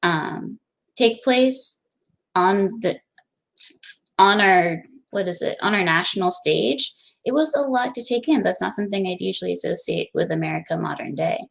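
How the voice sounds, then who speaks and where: A woman's voice: fairly low pitch, lively intonation, normal speed, creaky, rough recording. A young woman moderating a discussion, speaking earnestly and backing others up with short agreements. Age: young adult. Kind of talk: casual discussion.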